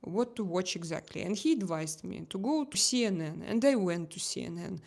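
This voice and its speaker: A woman from Russia with a Russian accent, a native Russian speaker, chatting casually as a live stream host in halting English and often searching for words. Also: lowish voice, lively intonation, vocal fry, darkish tone.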